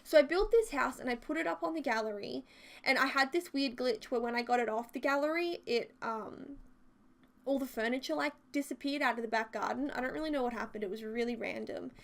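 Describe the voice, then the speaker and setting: Young female vlogger, slightly higher voice with a touch of sore-throat croak, loose chatty narration full of asides. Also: brisk, fairly bright tone. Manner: chatty and rambling, many fillers and side notes. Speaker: video creator narrating a build. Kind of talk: casual voice-over commentary.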